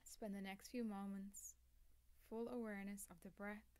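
A woman guides a relaxation practice in a soft, mid-pitched voice with very little melodic movement. Her delivery is calm and measured, with long pauses between short instructions. Phrase endings often fall away into breath, giving it a soothing feel. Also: clear, resonant tone. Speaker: yoga nidra teacher. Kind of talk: guided meditation, scripted instruction.

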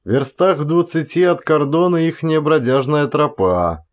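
Male reader as blustering ex-policeman forest guard, loud, folksy, grumbling, audiobook drama. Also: on the high side, measured pace, voice pushed up, close to an everyday voice.

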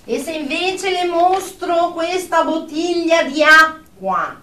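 A woman playing a high, stern psychiatrist. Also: animated, slow pace, sharp tone, exaggerated voice. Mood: clinical, probing. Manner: clinical, condescending, emphatic. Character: stern, condescending female psychiatrist, clinical and impatient. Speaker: therapist character. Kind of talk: radio drama acting. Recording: echoey room, distant from mic.

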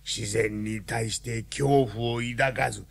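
A man playing a grave, philosophical lion in a radio drama, speaking solemnly and deliberately. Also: medium pitch, steady tone, gravelly. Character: grave, philosophical male lion, weary revolutionary comrade.

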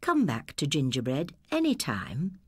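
Soft-spoken old English lady shopkeeper, voiced by a woman. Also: low-pitched, huge pitch swings, slightly rough, no room sound, clean studio sound, full character voice. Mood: kindly, inviting. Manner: slow, inviting.

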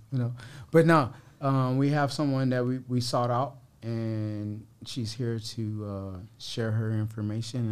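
A man's voice: on the low side, a little mellow. A young man with an American accent, interviewing casually as a podcast host and often repeating his words, close on a studio mic. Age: young adult. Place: small podcast studio, indoors. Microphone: close studio microphone, headphones.

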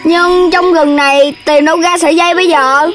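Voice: very high-pitched, steady tone, normal speed, recorded from a distance. A performer voicing a chubby, simple, loyal little pig child in a children's cartoon dub. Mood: puzzled.

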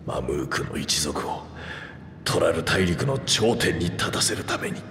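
A proud reptilian warrior with a low, gravelly voice. He speaks roughly, heavy with anguish and regret, in dramatic video game style with long reverb. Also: big pitch swings, measured pace, background noise, exaggerated voice. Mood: bitter. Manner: rough, boastful masculine speech. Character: proud two-headed reptilian warrior man, anguished and remorseful. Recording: echoey long reverb under music.

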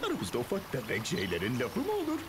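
A man's voice: medium pitch, wildly swooping pitch, fast, heavy background noise. A man voicing a pompous, boastful and easily flustered rooster lifeguard in a children's cartoon, theatrical and bombastic. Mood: nervous, scheming. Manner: bombastic, theatrical, swooping between boasts and nervous dithering. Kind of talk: exaggerated children's cartoon acting. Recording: cartoon music behind the voice.

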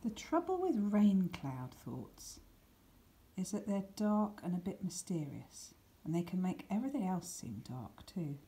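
A woman reading a children's picture book aloud, with deliberate, expressive emphasis and some hushed words. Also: lowish voice. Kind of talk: expressive scripted storybook narration for children.

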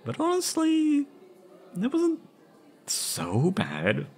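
A young man acting an anxious, wistful former captive in a scripted roleplay audio drama, speaking hesitantly and haltingly. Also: very high-pitched, wildly swooping pitch, a little mellow, full character voice. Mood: defensive, uneasy.

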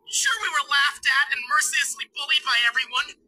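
A man's voice: expressive, fairly quick, pushed and bright, exaggerated voice. An actor voicing a whiny, anxious nerdy teenage boy in a video game cutscene. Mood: whining. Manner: whiny, shrill.

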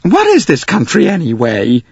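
A man voicing a fussy older woman traveler who complains loudly and tearfully. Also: mid-range voice, huge pitch swings, normal speed, creaky, breathy edge, dry recording, dull audio, pitched above the performer's own voice, heavily acted. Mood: indignant wailing.

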